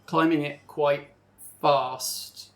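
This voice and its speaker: A man's voice: on the high side, little pitch movement, extremely slow, a bit bright. A man voicing a guarded, nervous sixteen-year-old waitress in a tabletop game, stammering and evasive.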